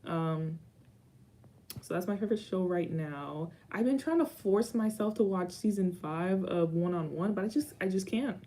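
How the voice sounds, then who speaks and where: Young woman rambling through a q&a vlog, low mellow voice, keeps correcting herself. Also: normal speed. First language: Haitian Creole. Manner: rambling, self-correcting, dry asides. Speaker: YouTuber. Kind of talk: casual Q&A vlog chat.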